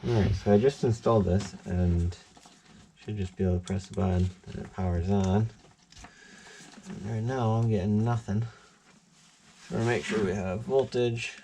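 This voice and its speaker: Guy, deep voice, casually explaining boat project stuff, noticeable background noise. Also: fairly even intonation, moderate pace, pauses often, mellow. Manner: plain-spoken, explanatory. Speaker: boat-refit vlogger. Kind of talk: casual hands-on demonstration to camera. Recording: clear background noise, ambient sound.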